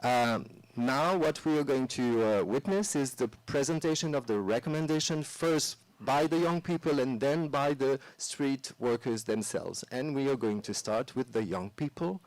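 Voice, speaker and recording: A man's voice: medium pitch, normal speed, few pauses, a little mellow, no room sound, rough recording. A man moderating a seminar, introducing speakers with many uhs and restarts. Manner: hesitant, filled with uh and restarts. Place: press club seminar room.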